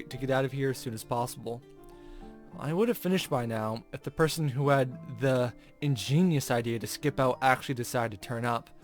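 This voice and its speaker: Man reading a visual novel over faint music. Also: mid-range voice. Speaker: gaming video host. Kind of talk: reading a visual novel aloud with casual commentary.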